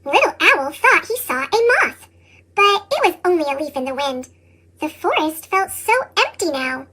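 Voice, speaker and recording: A woman reading children's picture books aloud in narration, her voice changed with a pitched-up chipmunk effect. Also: animated, moderate pace, bright, forceful tone. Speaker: picture book reader.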